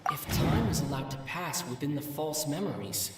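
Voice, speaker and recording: A man voicing a calm, analytical young scholar in a video game, speaking in a measured, deliberate way. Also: fairly high pitch, heavy background noise. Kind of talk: video game character dialogue. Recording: very echoey, distant, loud music behind. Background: music.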